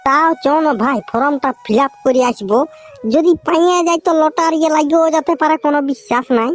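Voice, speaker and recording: A high, cartoonish village friend, acted out by a performer nags and coaxes in broad Purulia dialect. The character is bossy and boastful, with exclamatory comic delivery over faint music. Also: fairly quick, natural voice. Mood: coaxing. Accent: Purulia, West Bengal.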